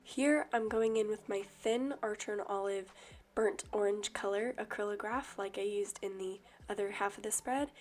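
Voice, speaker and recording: Hoarse, croaky young woman narrating. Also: fairly high pitch. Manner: calm, step-by-step explaining. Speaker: bullet journal vlogger. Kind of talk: voice-over narration of a drawing tutorial.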